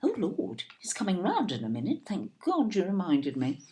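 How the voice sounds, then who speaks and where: A woman's voice: fairly low pitch, huge pitch swings, moderate pace, warm tone. A woman playing a snobbish, opinionated older English upper-middle-class wife in an audio play, with a haughty, disapproving, plummy manner. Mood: flustered, chatty.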